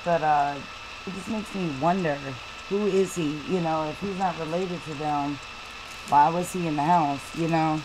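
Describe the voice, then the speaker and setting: A woman commenting on a news story, talking haltingly with many fillers and restarts. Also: low voice, steady tone, background noise. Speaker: channel host reading news and commenting. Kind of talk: casual commentary over a screen recording.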